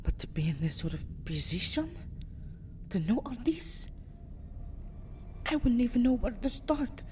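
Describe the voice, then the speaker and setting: A woman performs a centuries-old vampire girl in an ASMR roleplay drama, dark and mellow in tone. She is proud, protective and distraught, speaking theatrically with breathy, whispery dips. Clear ambient noise sits behind her. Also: on the high side, very animated, a little slow. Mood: anguished, helpless. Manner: theatrical, often dropping into breathy whispers, swelling into agitated outbursts. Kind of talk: ASMR roleplay monologue, melodramatic acting.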